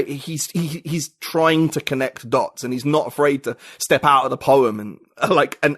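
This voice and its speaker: A man's voice: medium pitch, dry recording, studio quality. A man, a podcast guest talking reflectively and searching for his words in casual conversation.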